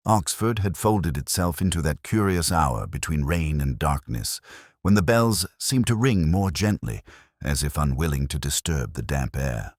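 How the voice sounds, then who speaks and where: A man playing a melancholy middle-aged English police detective, narrating in a measured, literary and wistful way as if from a notebook. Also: extremely low pitch, lively intonation, dry recording, clean studio sound, full character voice. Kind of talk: scripted first-person dramatic narration.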